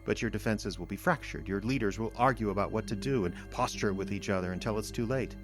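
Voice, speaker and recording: An actor playing a cold, patient, condescending alien invader in an audio drama, lecturing in a measured, smug way with contempt for humanity. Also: male voice, fairly low pitch, soft background music, close to an everyday voice. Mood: smug, ominous. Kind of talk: audio drama villain monologue.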